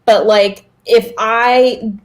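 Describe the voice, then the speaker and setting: A woman's voice: on the high side, steady tone, drawn-out words. Woman chatting casually on a podcast. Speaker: podcast co-host.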